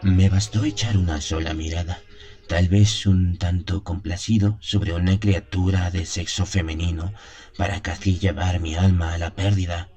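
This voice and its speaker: A man acts out an elderly country priest in a radio drama, his voice very low. He is haunted and wistful, confiding his story deliberately, with weighty pauses. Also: steady tone, darkish tone, close to an everyday voice. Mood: rueful. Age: 70s as played. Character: seventy-year-old country priest, haunted, confessional, wistful. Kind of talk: dramatised first-person radio drama monologue.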